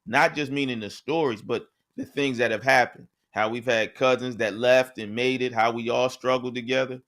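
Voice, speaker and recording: A man's voice: medium pitch, fairly even intonation, normal speed, fairly bright tone. A man co-hosting a podcast, talking in a casual, conversational way and often checking in with "right?". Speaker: podcast co-host. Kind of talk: casual discussion.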